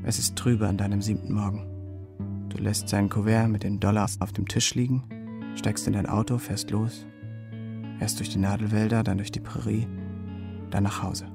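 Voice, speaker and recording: An actor playing the watchful inner voice of a young soldier, narrating a radio drama in terse, observing phrases. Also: male voice, lowish voice, flat delivery, normal speed, creaky. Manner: terse, present-tense, observing. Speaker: second-person narrator. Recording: studio radio play with guitar underneath.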